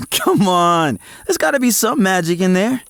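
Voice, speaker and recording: An actor playing an eager, frazzled young male athlete, narrating his own story in an audio drama with expressive, at times hesitant delivery. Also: high voice, measured pace, dry recording, studio quality, heavily acted. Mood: puzzled, eager. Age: young adult. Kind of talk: first-person audio drama narration and dialogue.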